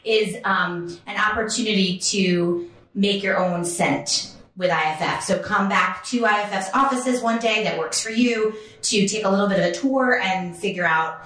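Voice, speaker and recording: A woman's voice: medium pitch, moderate pace, fairly bright tone. Chatty woman host, moderating a live panel, heavy room echo. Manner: upbeat, chatty. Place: live event space. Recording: echoey room sound.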